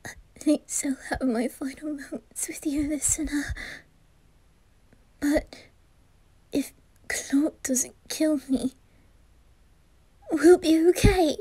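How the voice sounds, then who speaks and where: A woman plays a lovestruck teenage boy in an intimate audio roleplay. The voice is high and breathy, with slow, hesitant phrasing. He sounds trusting and vulnerable, recorded close and dry. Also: fairly even intonation, no echo. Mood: tender, hopeful. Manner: breathy, hushed, hesitant delivery. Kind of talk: whispered ASMR roleplay acting.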